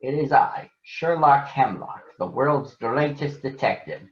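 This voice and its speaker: A man's voice: mid-range voice, expressive, unhurried, natural voice. A man voicing a self-important detective in a storybook, with a pompous, formal manner, on a lo-fi recording.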